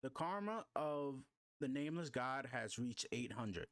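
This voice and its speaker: A man performs a game's notification system, formal and announcing, with very wide jumps in pitch. It sounds close and dry, like a webcomic read-aloud. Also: animated, measured pace, fairly bright tone, no room sound. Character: game system notification announcer with chirpy chime cry.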